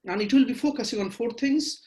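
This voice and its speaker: A man from Bangladesh, a Bengali speaker, giving a measured webinar lecture over a video call with frequent fillers. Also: very high voice, darkish tone. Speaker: guest lecturer.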